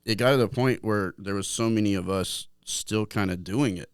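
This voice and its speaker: A man's voice: fairly low pitch, moderate pace, clean tone, no room sound, clean studio sound. A man from Atlanta, a guest musician, telling stories in a joking, rambling way full of fillers. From Atlanta, Georgia, USA. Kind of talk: casual interview chat.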